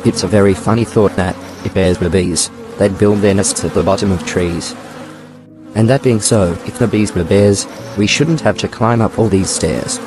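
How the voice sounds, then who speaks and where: A man playing a naive, earnest toy bear who loves honey, pondering with gentle self-importance in a storybook dramatisation. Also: fairly low pitch, normal speed, natural voice, low-quality recording. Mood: singsong musing. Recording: background music.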